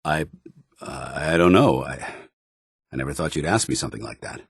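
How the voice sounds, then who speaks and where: An actor playing a stern, earnest, dutiful male soldier in a video game, speaking with formal, measured military diction. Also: rumbling low, gravelly, husky, no echo, studio quality, exaggerated voice. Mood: earnest, guarded. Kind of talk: video game voice acting, companion dialogue.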